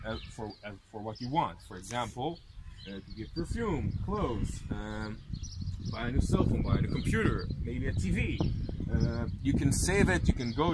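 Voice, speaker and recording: A young tour guide talks to a seated group outdoors, explaining things and asking them questions. His voice is mid-pitched and close to the camera. Birds and traffic hiss behind him. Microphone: handheld camera held close by the filmer. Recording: outdoor noise, birds and passing vehicles.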